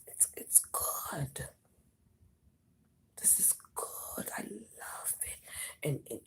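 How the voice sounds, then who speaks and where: A tarot reader speaks in a husky, half-whispered voice heavy with gravelly fry. The pitch rises and falls a lot as they reassure the listener over and over, calling them honey and mama. The delivery is close and personal. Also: a little mellow. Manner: emphatic, reassuring, repeats phrases. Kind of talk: intimate whispered reading addressed to viewer.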